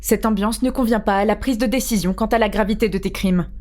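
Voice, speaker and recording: A woman's voice: medium pitch, fast, a little mellow, lightly acted. A woman voicing a severe, impatient young prison guard in a fan dub. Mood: stern, exasperated. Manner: curt, severe. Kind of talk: fan-dub dramatic dialogue.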